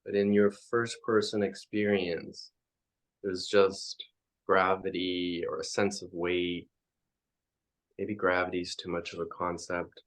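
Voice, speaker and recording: A man leading a guided meditation as a teacher, speaking in halting, reflective phrases with frequent pauses. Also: low voice, flat delivery.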